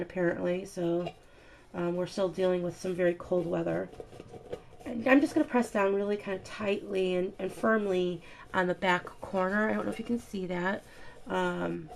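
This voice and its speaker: A woman's voice: mid-range voice, gravelly, slight background noise. A woman with a stuffy, nasal voice from a cold, chatting through a craft tutorial with lots of ums and uhs. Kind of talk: casual step-by-step craft demonstration.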